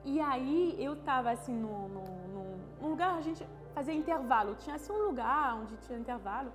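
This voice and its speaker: A woman's voice: high voice, animated. Woman telling her story in an interview, stops and restarts a lot, echoey with music behind. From France. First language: French. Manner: halting, searching for words, frequent restarts and tag questions. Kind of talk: personal testimony interview. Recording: long reverb with music underneath.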